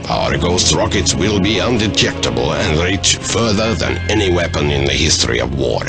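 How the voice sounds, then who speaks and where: A man's voice: rumbling low, sing-song, normal speed, loud music behind the voice, full character voice. An actor playing a military major, formally and dutifully reporting to his general in a war game cutscene. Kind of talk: scripted dramatic letter reading in a war game.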